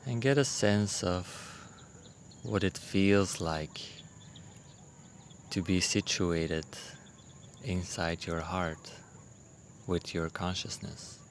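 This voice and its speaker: Slow, deep-voiced man guiding meditation. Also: slight background noise. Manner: gentle, unhurried, long pauses between short phrases. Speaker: meditation guide. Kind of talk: guided meditation, soothing instruction.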